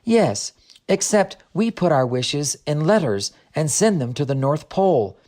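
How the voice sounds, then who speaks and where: A curious young girl from a storybook, acted out by a man reading aloud. The delivery is eager and questioning, with pitch that swings widely as in an audiobook for families. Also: very animated, measured pace, a little mellow. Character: Lizzie, curious, excitable young girl visiting a storybook land. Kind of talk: audiobook character dialogue.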